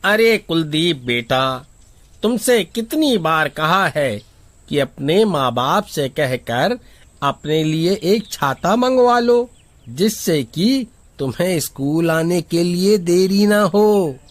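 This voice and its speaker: A woman voicing a stern schoolteacher who chides and questions in an animated story. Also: lowish voice, takes it slowly, no room sound. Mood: chiding. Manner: scolding, questioning.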